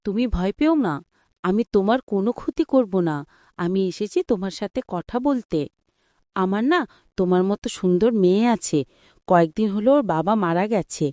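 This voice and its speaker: A woman voicing a kindly woman from the moon in a cartoon, soft and reassuring. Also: medium pitch, dry recording, clean studio sound, close to an everyday voice. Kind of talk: cartoon voice acting.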